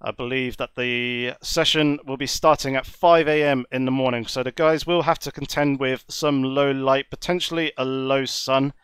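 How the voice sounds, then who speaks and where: A man with a slight cold talks through a sim racing session in a measured, courteous commentary style. His voice stays smooth and clear despite the congestion. The sound is close and dry, with no room around it. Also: mid-range voice, fairly even intonation, a bit bright, dry recording. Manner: measured, wordy, polite. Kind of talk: live esports race commentary.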